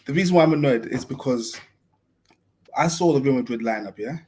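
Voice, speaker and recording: A man's voice: mid-range voice, lively intonation, a little fast, dark tone. Man punctuating every point with "yeah?". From family partly Ghanaian. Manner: talks in rhetorical questions ending in "yeah?". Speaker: co-host of football podcast. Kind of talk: casual football chat on a live stream.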